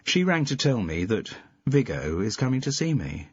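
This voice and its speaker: A man's voice: medium pitch, big pitch swings, normal speed, lo-fi sound, a little hiss or hum, voice pushed up, natural voice. A man voicing a friendly, wry woman who is an amateur sleuth.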